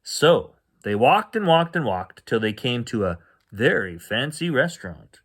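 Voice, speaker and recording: A man reading a children's bedtime story aloud as storyteller, with animated delivery. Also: medium pitch, unhurried, breathy edge.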